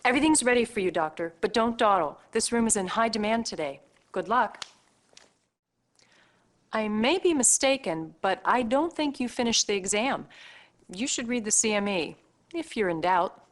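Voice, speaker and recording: Businesslike hospital nurse played by an actress. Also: female voice, a little high, lively intonation, moderate pace, close to an everyday voice. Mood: mildly reproving. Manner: instructing, businesslike. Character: crisp, businesslike hospital treatment-room nurse advising doctors. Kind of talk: scripted video game cutscene acting.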